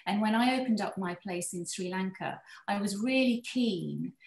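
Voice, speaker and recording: A woman's voice: mid-range voice, creaky, echoey room. A woman who grew up in the UK, a designer running a hospitality business, speaking reflectively on an online panel. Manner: reflective, measured, personal. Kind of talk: panel discussion. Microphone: video call.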